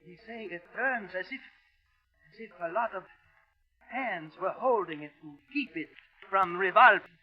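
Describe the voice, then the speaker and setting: A muffled old radio play with music, featuring a young-ranking naval lieutenant. His voice is high, formal and deferential, with an anxious hesitance. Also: lively intonation, normal speed, long pauses. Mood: uneasy. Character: dutiful, anxious junior submarine lieutenant. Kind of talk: old-time radio drama acting.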